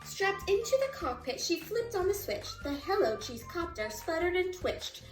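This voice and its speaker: A woman reading a children's rhyming picture book aloud in an animated, sing-song way with dramatic emphasis. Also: very high-pitched, normal speed. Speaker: storytime reader. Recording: roomy, distant mic with music.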